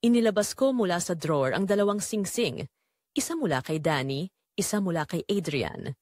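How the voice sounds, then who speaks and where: Filipino woman reading a dramatic story, measured but really expressive pitch, no room sound. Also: dry recording, clean studio sound. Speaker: audiobook narrator. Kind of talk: scripted dramatic first-person story narration.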